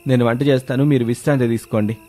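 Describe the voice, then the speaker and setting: A man's voice: mid-range voice, steady tone, fast, warm tone, lightly acted. A man playing a dutiful elder son who speaks plainly and respectfully in a storybook tale.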